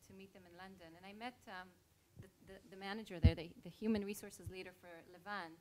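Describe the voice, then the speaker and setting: A woman's voice: medium pitch, steady tone, quick pace, mellow, slight background noise. A middle-aged woman from Israel, speaking earnestly on a panel as an HR leader and telling a personal story.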